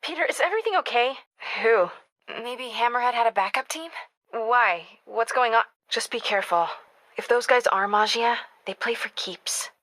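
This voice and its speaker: An American actress playing a young woman reporter in a video game, caring, wry and quick-witted, in natural conversational dialogue. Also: on the high side, a bit bright, no room sound, close to an everyday voice. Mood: concerned, urgent.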